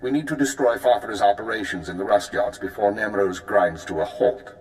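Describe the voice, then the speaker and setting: An actor playing a composed intelligence handler giving a mission briefing in a video game, formal and clipped. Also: male voice, lowish voice, a little fast, a little mellow, natural voice, rough recording. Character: cool, precise male intelligence handler.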